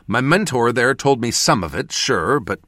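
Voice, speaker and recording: A man's voice: mid-range voice, very animated, moderate pace, fairly bright tone, no room sound, studio quality, pitched above the performer's own voice. Man playing an earnest, defensive male mage. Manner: earnest, explanatory. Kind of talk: audiobook character voice.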